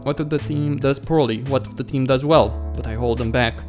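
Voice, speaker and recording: A man's voice: mid-range voice, steady tone, brisk, faint music behind, natural voice. A man voicing a weary, self-doubting young pro gamer's inner thoughts in a visual novel, brooding and questioning himself. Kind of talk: visual novel read-aloud.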